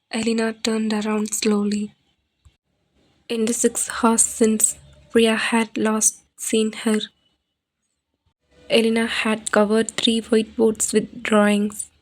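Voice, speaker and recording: Woman acting as ominous horror storyteller, higher-pitched, extremely even intonation, deliberate, solemn. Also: rough recording. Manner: solemn, deliberate, flat delivery. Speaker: horror podcast narrator. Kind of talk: scripted horror narration.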